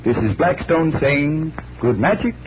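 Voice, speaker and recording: A man's voice: a little high, animated, measured pace. An actor playing a confident, genial magician detective in 1940s radio style, instructing like a theatrical showman. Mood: genial explaining. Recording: dull, noisy vintage radio recording.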